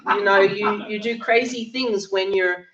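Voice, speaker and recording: An older woman from Australia speaks at a conference workshop, her voice low and croaky from a cold. She talks in a chatty, self-deprecating way, with ums and throat clearing. The sound is dull and roomy, picked up from across the room. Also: steady tone, measured pace, fairly bright tone. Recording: roomy, distant, dull recording.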